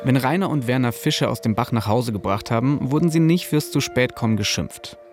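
A man's voice: mid-range voice, fairly quick, faint music behind. A man narrating a scripted podcast as host, in a casual, personal storytelling manner.

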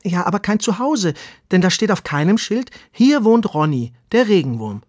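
A man voicing a curious, restless young male earthworm who talks in a high, excited, pleading way in a children's bedtime story. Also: normal speed, no room sound, studio quality.

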